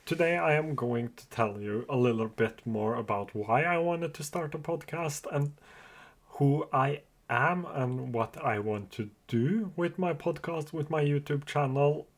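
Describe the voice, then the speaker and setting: A man's voice: a little high, a little slow. A man with a Norwegian accent who speaks Norwegian and Farsi natively, hosting his own podcast in an earnest, open and slightly hesitant monologue. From Norway. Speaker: podcast host. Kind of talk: casual solo podcast monologue.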